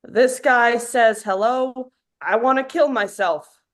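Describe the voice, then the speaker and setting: A woman's voice: on the high side, slow pace. A woman playing a cocky, blunt young macho actor in a sitcom script read. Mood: wry.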